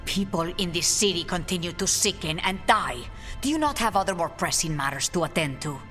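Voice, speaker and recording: A dignified, hopeful leader from a fantasy game. The delivery is measured and formal, with a long hall reverb. Music is clearly heard behind the voice. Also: male voice, sits high, animated, bright, forceful tone. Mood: stern, composed. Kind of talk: dramatic video game acting.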